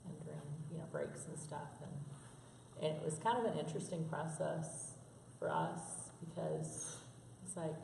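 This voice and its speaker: A woman, hoarse from allergies, talking frankly about parenting. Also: deep voice, fairly even intonation, a little slow, mellow, a little hiss or hum. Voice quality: gravelly. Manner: frank, chatty, laughs often. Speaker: interview guest, mother. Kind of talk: candid conversational interview. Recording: echoey room from a distance.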